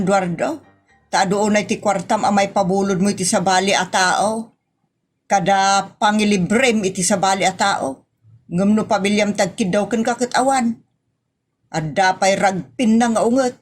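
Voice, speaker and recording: A woman's voice: mid-range voice, steady tone, normal speed, soft background music, natural voice. A woman with an Ilocano accent playing an exasperated, sarcastic housewife who scolds in a comedy audio drama. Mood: angry.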